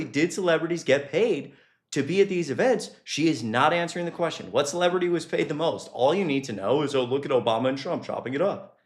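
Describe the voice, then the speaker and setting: A man giving casual, unscripted political commentary as a streamer, halting and sweary, with an exasperated manner. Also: medium pitch, fairly bright tone.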